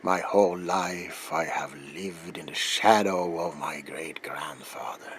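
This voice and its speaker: A man playing a grave, weary, obsessed older vampire hunter in a theatrical ASMR roleplay, speaking deliberately and dropping into breathy, ominous whispers. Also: sits low, slight background noise. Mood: solemn resolve. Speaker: character performer in roleplay.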